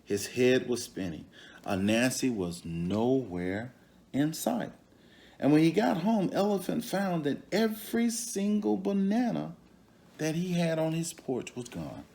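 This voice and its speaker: A man reads a children's story aloud at a leisurely pace. His voice swings widely in pitch, and he talks directly to his listeners in an expressive storyteller's manner. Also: creaky. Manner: expressive, pauses to question and comment to the young audience. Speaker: storybook reader.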